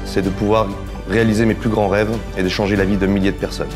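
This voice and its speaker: A man's voice: lowish voice, flat delivery, fast talker. A man in his forties giving a promotional testimonial as an entrepreneur. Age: 40s. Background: music.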